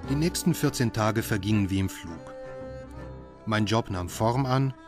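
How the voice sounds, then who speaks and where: An actor playing a reflective doctor who narrates his own story in a radio play, in a measured way. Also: on the low side, lively intonation. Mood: calm, reflective. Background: music.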